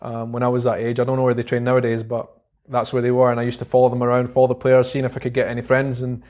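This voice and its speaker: A young man with a Scottish Edinburgh accent, a guest in an interview, talking about his past in a relaxed, halting way with many pauses and restarts. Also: medium pitch. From Scotland. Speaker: guest, vlogger. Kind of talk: casual interview chat.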